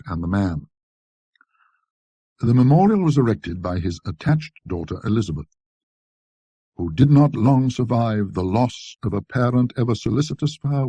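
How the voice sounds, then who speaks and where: A man with a low, dark voice reads a ghost story as narrator. His delivery is scholarly and measured, with a husky grain to the tone, recorded close and dry. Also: no room sound. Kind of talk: scripted ghost story narration in a classic British manner.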